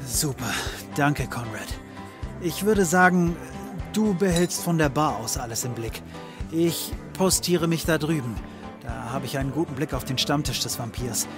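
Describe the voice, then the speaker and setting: An actor playing a wry, world-weary occult detective, dry and sardonic, in a comedy audio drama. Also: male voice, a little high, measured pace, over music. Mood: decisive.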